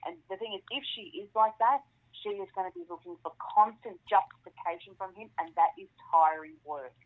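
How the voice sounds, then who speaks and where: A woman's voice: medium pitch, brisk. A woman on a phone line chatting casually as a podcast guest, blunt, sweary and opinionated. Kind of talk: casual chat. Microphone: phone or remote call. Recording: tinny phone-line call.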